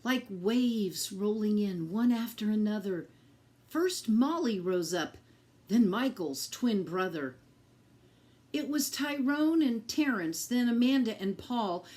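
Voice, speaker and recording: Woman, children's storybook read-aloud, very lively intonation, slow deliberate pace, teacherly delivery. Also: mid-range voice. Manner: slow, deliberate, addressing young listeners. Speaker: teacher reading a picture book to children.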